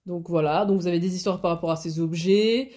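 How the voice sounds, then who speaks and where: A woman's voice: lowish voice, a little fast, a little mellow. A woman with a cold and a blocked nose, chatting casually in a hobby vlog, with many hesitations and restarts. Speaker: hobby vlogger. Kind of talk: casual chat.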